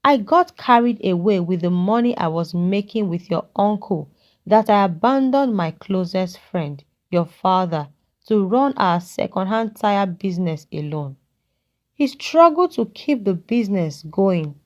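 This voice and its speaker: A woman voicing a kindly, regretful old man who talks in a measured, fatherly way in a narrated folktale. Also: mid-range voice, animated, darkish tone, highs cut off, lightly acted. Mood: reminiscing, regretful. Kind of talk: character dialogue in a narrated folktale.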